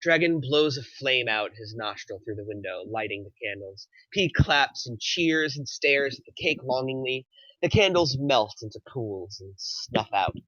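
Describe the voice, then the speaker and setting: Male narrator, crisp bright tone, middle pitch, screenplay reading, dry slightly lo-fi recording. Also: expressive, unhurried, no room sound. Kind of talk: reading screenplay action lines aloud.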